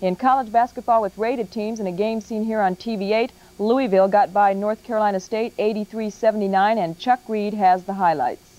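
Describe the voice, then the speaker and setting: A woman's voice: mid-range voice, fairly even intonation, fairly quick, hardly any pauses, warm tone. A woman with an American accent reading sports scores as a scripted TV news anchor. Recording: muffled old TV broadcast tape.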